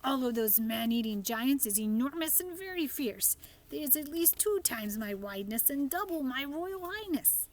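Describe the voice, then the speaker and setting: A woman's voice: high-pitched, expressive, normal speed, pitched above the performer's own voice. A woman voicing a big friendly male giant in a storybook, with garbled folksy grammar and made-up words. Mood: emphatic.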